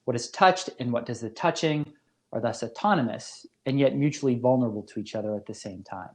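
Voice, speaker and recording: A man giving a scripted academic lecture as a philosophy professor, in a measured, formal manner. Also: medium pitch.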